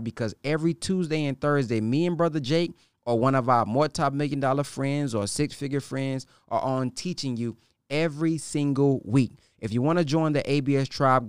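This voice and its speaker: A man's voice: mid-range voice, clean tone, dry recording, studio quality. A man hosting a podcast, delivering a promotional announcement addressed directly to the listener. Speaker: podcast host.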